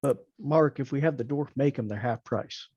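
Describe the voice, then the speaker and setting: Male tabletop player, husky voice, casual talk, muffled dry recording. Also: medium pitch, normal speed, a little mellow, no room sound. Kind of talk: casual group game chat. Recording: dull, dry lo-fi voice capture.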